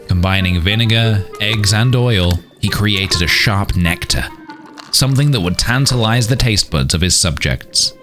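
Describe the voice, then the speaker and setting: A man reading a scripted storybook fairy tale in a dramatic, grandiose storytelling manner. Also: deep voice.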